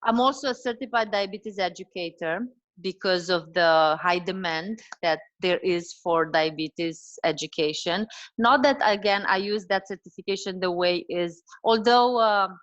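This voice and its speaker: A woman's voice: on the low side, a little slow, muffled recording. A woman in her forties from Romania with a Romanian accent, talking as a dietitian guest in a casual interview, with many fillers and restarts. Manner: warm, animated, frequent fillers and restarts.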